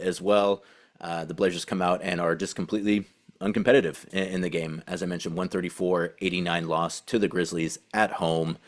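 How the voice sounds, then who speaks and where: A man hosting a sports podcast with casual commentary, talking in a conversational, halting way and circling back to rephrase. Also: low voice. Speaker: podcast host and team beat reporter.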